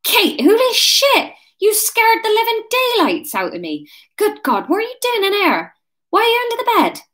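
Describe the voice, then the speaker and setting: A woman voicing a flustered, defensive West Country man from a storybook in an excitable, indignant way. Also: very high-pitched, moderate pace, pitched above the performer's own voice, full character voice. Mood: shocked.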